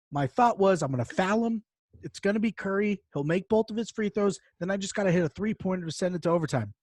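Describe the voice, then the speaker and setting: Casual man with a higher, close-up voice. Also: no echo. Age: adult, parent of a young child. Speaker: podcast co-host. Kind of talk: casual chat, long gaming anecdote.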